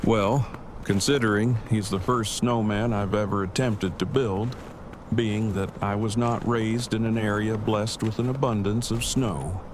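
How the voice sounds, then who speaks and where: An actor playing Eugene, a verbose, bookish and affectionate apocalypse survivor, speaking formally and precisely in an audio drama. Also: male voice, fairly low pitch, steady tone, unhurried, lightly acted. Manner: formal, wordy, precise diction. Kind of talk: fan audio drama acting. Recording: clearly noisy, with ambience behind.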